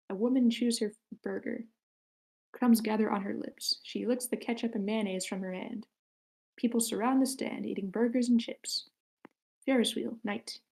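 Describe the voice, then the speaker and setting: A woman's voice: fairly high pitch, gravelly, darkish tone, poor audio. A woman reading stage directions as the narrator of a screenplay table read.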